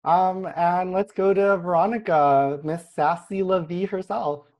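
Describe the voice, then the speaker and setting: A podcast host steering a relaxed group conversation. The voice sits at a middle pitch, with a chatty, easygoing delivery and frequent laughter between questions. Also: lo-fi sound. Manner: chatty, laughs often, quick asides. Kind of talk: casual chat.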